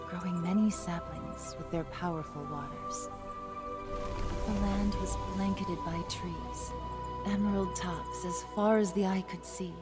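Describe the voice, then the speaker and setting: Woman as a solemn low-voiced fantasy storyteller. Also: close to an everyday voice. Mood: wistful. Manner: measured, dramatic, solemn. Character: grave, wise mystical woman storyteller from a fantasy world. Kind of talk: scripted video game acting and narration. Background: loud music.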